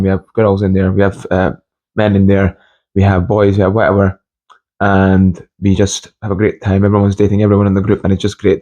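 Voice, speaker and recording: A young man from Scotland and Hungary giving an informal motivational monologue with personal stories, speaking haltingly and repeating phrases for emphasis. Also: on the low side, darkish tone. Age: young adult. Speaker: motivational video host.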